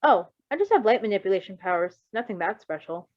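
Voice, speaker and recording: A woman's voice: mid-range voice, expressive, quick pace, muffled recording, natural voice. A woman voicing an awkward, hesitant young male superhero character in an amateur fan fiction reading, informal and self-effacing. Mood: shyly impressed. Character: skittish, awkward young male superpowered runaway, apologetic and shyly curious.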